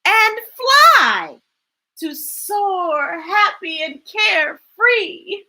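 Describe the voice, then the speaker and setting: A woman's voice: extremely high pitch, big pitch swings, drawn-out words, sharp tone, voice pushed up, exaggerated voice. A woman voicing a wistful storybook crab who longs to escape its muddy life and fly, in a lilting, dreamy way.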